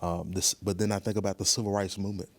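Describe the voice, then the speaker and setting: Man, very low voice, measured, earnest, deliberate, thoughtful podcast interview guest. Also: level tone. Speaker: museum professional guest.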